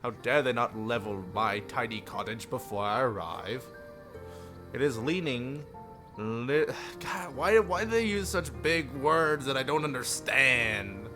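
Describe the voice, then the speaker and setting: A man's voice: medium pitch, animated, measured pace. A man livestreaming, reading a visual novel aloud with joking asides and stumbling over the text now and then. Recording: music playing behind the voice. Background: music.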